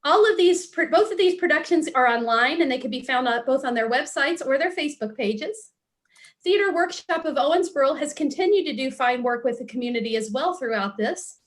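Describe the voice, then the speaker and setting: High-voiced woman giving earnest announcements. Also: expressive, a little fast. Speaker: arts event speaker. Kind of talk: prepared welcome speech and announcements.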